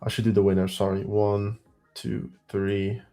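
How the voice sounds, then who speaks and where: A man's voice: lowish voice, little pitch movement, a little slow, mellow. A man with a heavy Belgian accent hosting a live trading stream, thinking aloud as he counts through trades and results.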